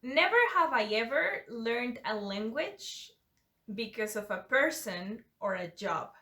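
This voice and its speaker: A woman's voice: fairly high pitch, animated, a little slow, pushed and bright. A woman whose first language is Spanish, hosting a casual drinking-game chat and laughing often between lines. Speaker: YouTube channel host. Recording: echoey room.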